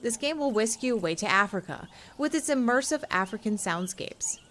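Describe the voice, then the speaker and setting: A woman's voice: a little high, animated, normal speed, noisy background. A woman co-hosting a games show, moving between casual chat and scripted review narration. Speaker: show co-host and game reviewer.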